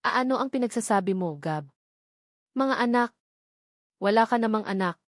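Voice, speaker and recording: A woman's voice: a little high, normal speed, dry recording, clean studio sound, close to an everyday voice. A Filipino woman playing an evasive, fearful young fiancée in a story, defensive and feigning innocence.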